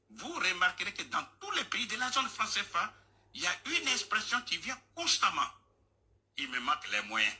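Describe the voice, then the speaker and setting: A man's voice: medium pitch, pushed and bright. A man explaining at length on a radio discussion, insistent and lecturing, repeating his points. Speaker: guest speaker on a radio discussion.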